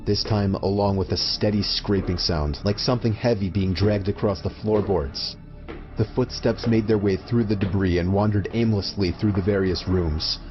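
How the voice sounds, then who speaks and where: A man reading a scripted first-person horror story as its narrator. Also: low-pitched. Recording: muffled lo-fi audio under music. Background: prominent background music.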